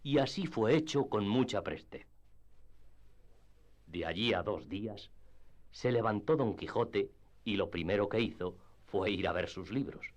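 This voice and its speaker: Low-voiced male storyteller. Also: animated, a little slow, long pauses. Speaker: narrator. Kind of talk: scripted literary narration for radio drama.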